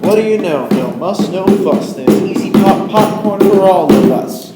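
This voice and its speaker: A man's voice: sits high, expressive, moderate pace, far from the mic. A man playing a square, talkative older fellow in an old radio commercial. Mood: jaunty. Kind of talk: 1940s radio commercial acting. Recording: roomy, with loud music. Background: loud music.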